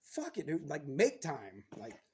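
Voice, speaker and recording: A man's voice: fairly high pitch, wildly swooping pitch, unhurried, husky. A man in his thirties, a guest on a podcast, talking frankly in casual chat and swearing freely as he retells things.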